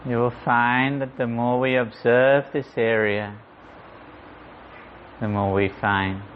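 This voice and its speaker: A man guiding a meditation in a gentle, unhurried manner, leaving long pauses. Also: mid-range voice, steady tone. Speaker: meditation guide. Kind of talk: guided meditation. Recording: muffled audio with clear background noise.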